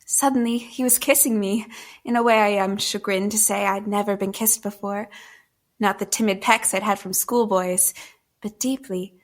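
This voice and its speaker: An actress playing a wary, proper young woman in a period audio drama, with refined, measured, old-fashioned speech. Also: medium pitch, close to an everyday voice. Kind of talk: first-person period audio drama narration and dialogue.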